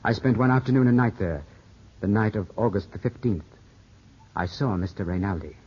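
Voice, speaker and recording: An actor in a 1950s radio drama playing an earnest, brooding young gentleman, formal and intense in delivery. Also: lowish voice, animated, normal speed, slightly rough. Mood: earnest confession. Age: young adult. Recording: dull, muffled old radio recording.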